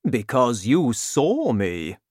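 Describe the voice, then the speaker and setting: A man voicing a huge, gentle giant in a storybook reading, speaking slowly and loudly. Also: huge pitch swings, husky, no echo, clean studio sound, full character voice. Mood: booming. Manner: slow, booming, deliberate.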